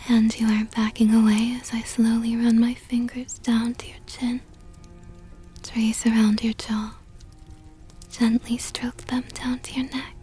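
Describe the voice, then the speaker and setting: A woman voicing a seductive, possessive vampire countess in an intimate ASMR roleplay, speaking softly and close to the microphone in a teasing, condescendingly tender way. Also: a little high. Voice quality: breathy, whispery, soft.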